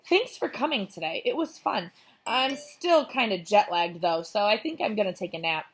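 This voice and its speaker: A woman's voice: medium pitch, lively intonation, normal speed, husky, voice pushed up. A woman voicing a young girl in a children's storybook, loyal and teasing, talking in a casual kid manner. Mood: polite excuse.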